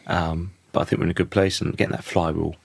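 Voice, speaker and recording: A man in his thirties with a croaky voice, a podcast guest talking thoughtfully and often restarting his sentences. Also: deep voice, flat delivery, fast, dry recording. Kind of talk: reflective conversational interview.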